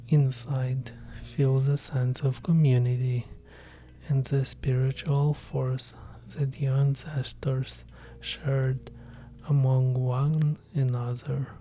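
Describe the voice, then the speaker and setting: A man leads a guided meditation in a hushed, whispery voice that is deep, dark and mellow in tone. He keeps an unhurried, even pace with almost no rise and fall in his pitch. Faint music plays softly behind him.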